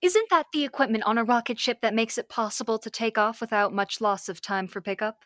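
A woman's voice: fairly high pitch, a little fast, fairly bright tone, dry recording, clean studio sound, lightly acted. A young woman playing a spirited, curious space soldier in old-time radio serial style, with a perky, inquisitive manner. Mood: lively, amazed. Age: young adult. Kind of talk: 1930s radio serial acting.

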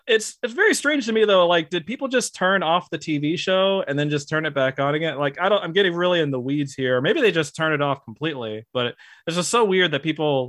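A man in casual chat who talks in a steady, even way, with lots of likes and fillers. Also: on the high side, no room sound, studio quality. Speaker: podcast guest, YouTuber. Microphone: close mic, hands bump it.

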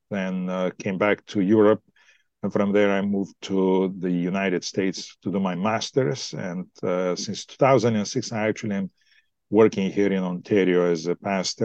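A man with a Bulgarian accent, a pastor explaining his church thoughtfully in a podcast interview, pausing often to find words. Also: low-pitched, a little mellow, no echo. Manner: explanatory, thoughtful, frequent hesitations. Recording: dry, dull lo-fi sound.

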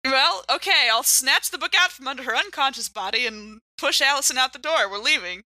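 A woman's voice: sits high, expressive, brisk, sharp tone, dry recording. A woman playing in an improvised tabletop role-playing show, conversational and thinking aloud. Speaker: player in a role-playing game. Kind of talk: casual improvised tabletop actual play.

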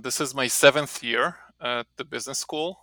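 Gravelly Israeli-accented man. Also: mid-range voice, moderate pace, no room sound, poor audio. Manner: relaxed, rambling with many restarts. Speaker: marketing professor, guest. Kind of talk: casual interview talk. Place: home office.

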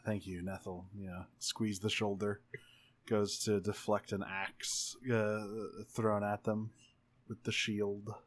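A man with a slightly low voice narrates as a player in a tabletop game. He goes slowly, halting and repeating himself while he finds the words. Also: monotone. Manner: halting, searching for words as he improvises.

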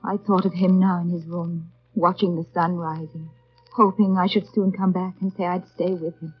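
A resolute young governess, low in pitch, speaking with formal, earnest sorrow. It is old radio drama, dull and lo-fi with music behind. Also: female voice, level tone, moderate pace, husky. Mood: wistful narrating. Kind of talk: 1930s radio drama acting and first-person narration.

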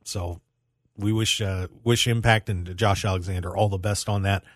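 A man's voice: low voice, steady tone, normal speed, a bit bright, no echo, clean studio sound. A man hosting a sports talk radio show in a casual, conversational way, addressing his listeners directly.

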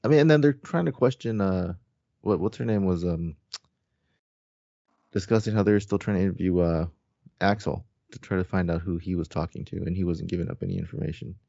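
A man's voice: sits low, a little fast, vocal fry, mellow, no echo, dull audio. A man co-hosting a podcast, recounting story details in a loose, informal way. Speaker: podcast co-host. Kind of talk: casual book recap discussion.

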